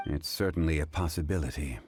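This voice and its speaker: Casting: a composed scholarly consultant, deep voice, formal measured delivery, for a video game. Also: male voice, darkish tone, faint music behind. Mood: grave, analytical. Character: composed, scholarly, dignified man, learned consultant. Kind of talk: English video game dub.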